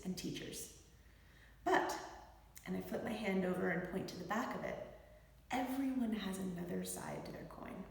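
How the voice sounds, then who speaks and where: Echoey, slightly creaky woman reading aloud. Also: medium pitch, a little mellow. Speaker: physician and author reading own book aloud. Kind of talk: expressive audiobook-style read-aloud. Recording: very echoey room with long reverb.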